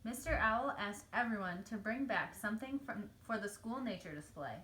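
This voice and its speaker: A woman reading a children's story aloud as narrator, telling it clearly for young children. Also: mid-range voice, animated, moderate pace, fairly bright tone, poor audio. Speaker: storybook reader.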